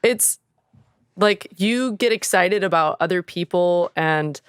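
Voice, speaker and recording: Female podcast co-host, lower register, clean resonant tone, effusive and earnest, very dry recording. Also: slow pace, smooth, no room sound. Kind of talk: casual podcast chat.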